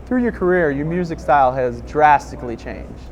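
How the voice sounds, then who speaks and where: A man's voice: a little high, lively intonation, normal speed. A male reporter asking direct, scripted interview questions outdoors in a city. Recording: noisy street with passing traffic.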